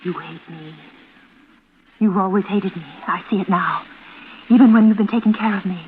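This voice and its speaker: A woman's voice: medium pitch, normal speed, natural voice. An actress playing a fragile, unstable, fearful and accusing young woman in overwrought 1940s radio suspense style. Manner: overwrought, plaintive. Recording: dull, faintly noisy vintage radio recording.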